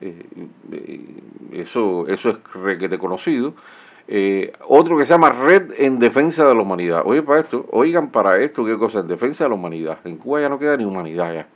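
A man's voice: lowish voice, very animated, fairly quick. A middle-aged man with a Cuban accent giving solo political commentary, sarcastic and indignant, with many fillers and restarts, recorded at home on a studio mic. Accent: Cuban Spanish. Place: small home room. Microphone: studio mic with headphones. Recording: dull, lo-fi sound.

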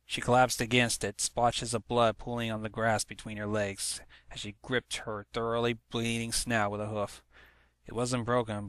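Guy reading a dramatic story out loud, lowish but sharp voice, dry sound. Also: no room sound. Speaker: fan fiction narrator. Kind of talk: dramatic scripted story narration.

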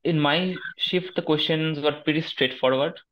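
A man in his twenties with an Indian accent giving halting, deferential answers in a conversational interview over a headset on a video call. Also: fairly high pitch, muffled recording. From Kolkata, India. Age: 20s. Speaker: interview guest, successful exam candidate. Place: small room at home, video call.

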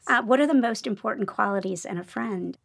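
A woman's voice: fairly high pitch, brisk, creaky. A woman in her fifties speaking earnestly and thoughtfully in an interview. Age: 50s. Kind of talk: conversational interview. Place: dark studio.

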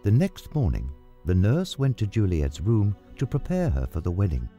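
A man reading a scripted story as narrator in a measured, dramatic way. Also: on the low side, huge pitch swings, warm tone, lo-fi sound. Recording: music under the voice. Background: background music.

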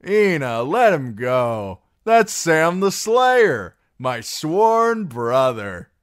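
A man's voice: medium pitch, very animated, clean tone, no echo, clean studio sound, voice pushed up. A man voicing a boisterous, drunken young singer in an audiobook, cocky and mocking, with a swaggering drawl. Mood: jovial boasting. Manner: loud, swaggering, slow drawn-out delivery. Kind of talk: audiobook character voice.

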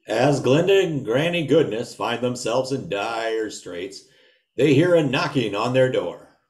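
A man's voice: mid-range voice, expressive, unhurried, roomy sound, natural voice. An older man playing the dramatic narrator of an old-time radio melodrama.

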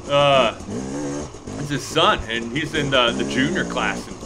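Young male motovlogger, excited rambling trail commentary, gravelly edge, helmet cam under engine roar. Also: medium pitch, a little slow, very noisy. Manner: excited, rambling, cheering on riders. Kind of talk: casual race motovlog commentary. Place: riding a dirt bike on a wooded trail, outdoors.

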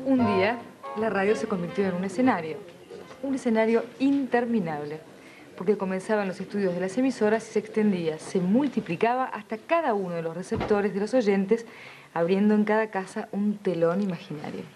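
A woman's voice: mid-range voice, brisk, darkish tone, soft background music. An Argentine woman in her thirties reading scripted narration into a studio microphone.

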